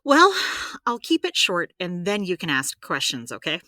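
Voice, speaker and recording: A woman playing a brisk, practical supernatural healer in an audio drama, chatty and explanatory with wry asides. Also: fairly low pitch, huge pitch swings, pushed and bright, no echo, exaggerated voice. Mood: explaining. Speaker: healer giving therapy advice. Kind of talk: audio drama dialogue, conversational.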